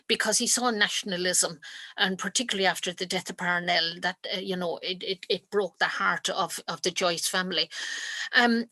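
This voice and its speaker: A woman gives a literature lecture over a video call, thinking aloud with many ums and restarts. Her voice sits at a middle pitch. The computer mic sound is dry and close. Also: pushed and bright, no room sound. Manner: thoughtful, with frequent ums and restarts. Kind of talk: online lecture with slides.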